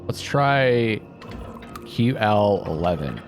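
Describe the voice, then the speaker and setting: Man puzzling aloud, lively pitch, music behind. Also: slow. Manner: thinking aloud, puzzling things out. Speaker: video game streamer. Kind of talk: casual let's-play commentary, reading game text aloud.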